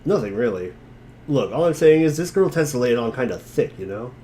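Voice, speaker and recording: Man, theatrical and wry, animated pitch, dramatic reading, slightly noisy recording. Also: fairly quick. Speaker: reader in a group dramatic reading. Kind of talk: dramatic reading of narration and dialogue with casual asides.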